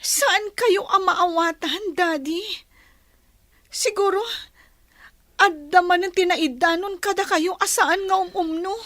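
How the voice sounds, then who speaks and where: A woman's voice: very high-pitched, steady tone, takes it slowly, pauses often, fairly bright tone, no echo, studio quality, full character voice. A woman with an Ilocano Filipino accent playing a hurt, meek young daughter in a radio drama, plaintive and pleading.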